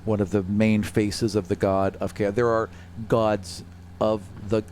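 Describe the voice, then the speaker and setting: Low-voiced man running a tabletop game. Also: little pitch movement, unhurried, darkish tone. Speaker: game master. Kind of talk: tabletop role-play narration, conversational. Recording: faint hum, slight background noise.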